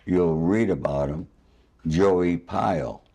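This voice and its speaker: An older male interviewer with a fairly low voice. He talks casually and off the cuff, drifting into anecdotes. His manner is rambling and a little boastful. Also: slow, creaky, dark tone, slightly rough. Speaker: interviewer and host. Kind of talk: spontaneous casual conversational interview.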